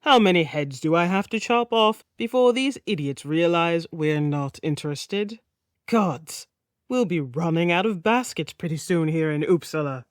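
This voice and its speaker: A woman voicing a genial, fastidious, teasing king with a Nordic accent and a casually menacing streak, in an audiobook saga. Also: fairly low pitch, animated, no echo, clean studio sound.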